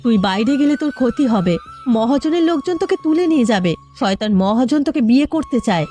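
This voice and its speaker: A woman's voice: fairly high pitch, expressive, brisk, warm tone, soft background music, natural voice. A woman playing an anxious, protective mother of a grown daughter in a cartoon drama, speaking in a firm, urgent, pleading way. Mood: worried, firm.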